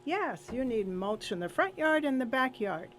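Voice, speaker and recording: A woman with a somewhat high voice chats casually as a radio guest. She tells stories by acting out what each person said. A faint noise and hum sit behind her. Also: very animated, moderate pace. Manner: acts out quoted dialogue. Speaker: radio show guest, senior seminar organizer. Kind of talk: casual anecdotal chat.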